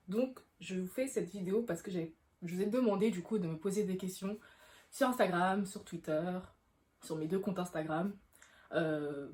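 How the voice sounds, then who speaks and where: Young woman, kinda low voice, casual q&a vlog chat, rewords herself a lot. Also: warm tone. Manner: informal, frequent self-rephrasing. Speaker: vlogger answering questions. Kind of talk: casual explanatory chat to camera.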